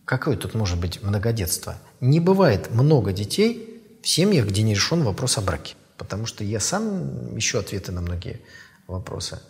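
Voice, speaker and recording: A man in his fifties, an author, giving thoughtful interview answers in a measured way with pauses and fillers. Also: lowish voice, sing-song, vocal fry, a little mellow, long reverb tail. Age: 50s.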